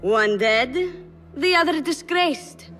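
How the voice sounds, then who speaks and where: Sly, menacing woman poisoner, loud music. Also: high-pitched, lively intonation, takes it slowly, full character voice. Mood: defiant, taunting. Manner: sly, composed, taunting. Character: cunning, coolly menacing woman poisoner, fiercely loyal servant. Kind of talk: dramatic video game cutscene acting. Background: dramatic score.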